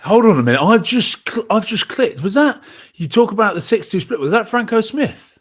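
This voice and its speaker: A man, chatting in an excitable, chummy way as a podcast co-host. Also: high-pitched, brisk. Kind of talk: casual podcast chat.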